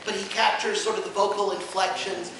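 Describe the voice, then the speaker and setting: A man's voice: high-pitched, level tone, brisk. A man hosting a fan convention panel, explaining things off the cuff with enthusiastic emphasis. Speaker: panel host. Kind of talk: unscripted fan convention presentation. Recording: very echoey room, distant, faint noise.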